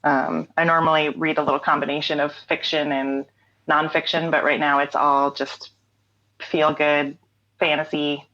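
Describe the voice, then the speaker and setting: A woman talking casually as an interview guest, relaxed, with frequent fillers and easy laughter. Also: sits low, moderate pace, highs cut off. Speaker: interview guest, writer and songwriter.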